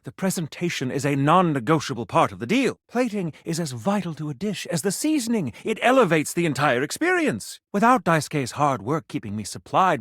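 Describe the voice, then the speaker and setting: A man's voice: fairly high pitch, fairly bright tone, no room sound, clean studio sound. An actor voicing a pompous, theatrical male food critic for a video game, speaking in a florid, haughty way and savoring each word. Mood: haughty, passionate. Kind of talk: video game character acting.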